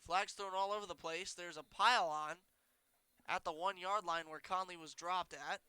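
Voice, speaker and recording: Bright-voiced young play-by-play man. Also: sits high, smooth, no room sound. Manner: quick, excited calls on big plays. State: congested, says he sounds nasal. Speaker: play-by-play announcer. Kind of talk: high school football play-by-play.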